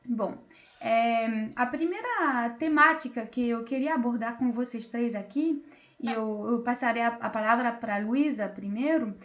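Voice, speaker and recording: A woman's voice: fairly high pitch, unhurried, dull audio. A woman moderating an online panel, introducing guests with many fillers and restarts, over a video call. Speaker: debate moderator.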